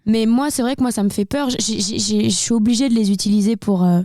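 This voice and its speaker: A woman's voice: medium pitch, fast, warm tone, no echo. A young woman from Burgundy with a slight Burgundy French accent, a singer chatting candidly as a guest in a casual interview. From Burgundy, France. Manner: candid, talkative, many fillers and restarts.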